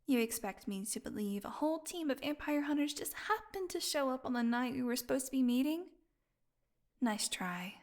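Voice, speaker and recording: A woman playing a starved, flirty, sardonic captive vampire in an intimate audio roleplay, teasing and slipping into breathy whispers. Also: high-pitched, lively intonation, moderate pace, a little mellow. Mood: bitter, sarcastic. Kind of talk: audio roleplay acting, intimate one-sided dialogue.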